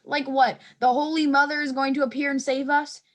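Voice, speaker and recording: Woman playing a high-voiced, fretful schoolgirl. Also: flat delivery, brisk, close to an everyday voice. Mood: dramatic, restless. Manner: fretful, dramatic. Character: anxious, dramatic schoolgirl dreading punishment. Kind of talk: staged play reading.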